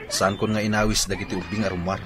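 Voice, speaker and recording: A man's voice: sits low, little pitch movement, fast talker, lightly acted. An actor playing a brusque, evasive husband and father in a radio drama. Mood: defensive.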